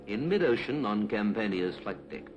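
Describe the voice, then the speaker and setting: A British man with a fairly low voice narrates over clear background music, in the formal style of a 1950s public information film. His delivery is measured and clipped, and the sound is that of a period film soundtrack. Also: expressive, low-quality recording. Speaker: documentary narrator.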